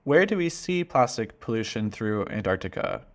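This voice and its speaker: A man hosting a podcast, reading a scripted introduction and then asking interview questions in a clear, earnest, friendly way. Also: lowish voice, big pitch swings, moderate pace. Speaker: podcast host.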